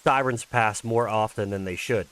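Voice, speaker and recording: A man's voice: lowish voice, normal speed, a bit bright. A man who talks casually about his own visual novel and reads its narration aloud. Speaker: creator reading own fan-made visual novel. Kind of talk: casual intro, then dramatic read-aloud narration.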